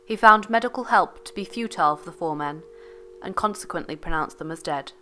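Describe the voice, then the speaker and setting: A woman's voice: fairly low pitch, a little fast, pushed and bright. A woman reading a formal, factual historical narration from a script.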